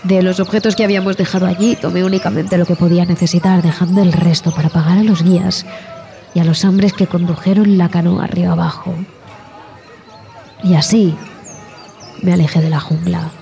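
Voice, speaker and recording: Woman acting a haunted expedition survivor, halting dramatic horror storytelling, music underneath. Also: fairly low pitch, steady tone, vocal fry, dark tone. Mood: exhausted, bleak. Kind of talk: dramatized first-person horror narration, audio drama. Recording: music bed behind the voice.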